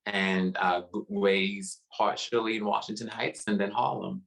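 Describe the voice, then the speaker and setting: A man from New City, a guest curator talking casually on a video call, relaxed with frequent pauses. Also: on the low side, gravelly, poor audio. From New York City. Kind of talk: casual interview conversation. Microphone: video call webcam.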